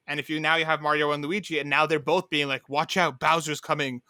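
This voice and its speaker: A man's voice: on the high side, little pitch movement, a little fast, pushed and bright, no room sound, clean studio sound. A man co-hosting a casual podcast, talking emphatically and often slipping into imagined quotes. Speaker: podcast co-host. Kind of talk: casual animated chat.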